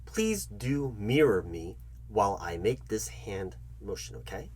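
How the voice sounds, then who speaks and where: A man performing a comedic job interview roleplay, speaking straight to the listener in a fussy, halting, repetitive manner. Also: on the low side, big pitch swings, darkish tone, slight background noise. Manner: fussy, halting, repeats himself, addresses listener directly. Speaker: ASMR channel host acting as job interviewer.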